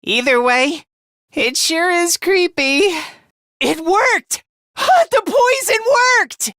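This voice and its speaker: A man's voice: very high-pitched, expressive, slow pace, pushed and bright, no room sound, clean studio sound, exaggerated voice. A man voicing a timid, anxious, self-loathing young male student in an anime video game, with a thin voice and a stammering, whiny delivery. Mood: excited.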